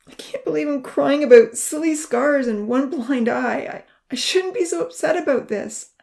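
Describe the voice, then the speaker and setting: A woman voicing an anguished young male burn survivor, humble and guilt-ridden, halting with a breathy, choked voice. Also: sits high, darkish tone, pitched above the performer's own voice. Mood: tearful, embarrassed. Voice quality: breathy, choked voice breaking into sobs. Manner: halting, pleading, confessional.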